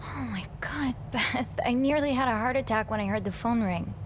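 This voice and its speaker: A woman's voice: fairly high pitch, moderate pace, a little hiss or hum, lightly acted. A woman playing an anxious young hotel maid in a video game, with a hesitant, earnest way of talking. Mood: startled.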